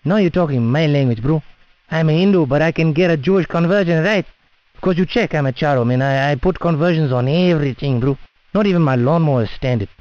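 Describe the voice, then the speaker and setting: A cheeky South African man of Indian accent makes a comic prank call. His voice sits a little high, and he rambles along in thick slang. The sound is dull, like a phone call. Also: fast, darkish tone, no room sound. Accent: South African Indian English. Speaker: prank caller.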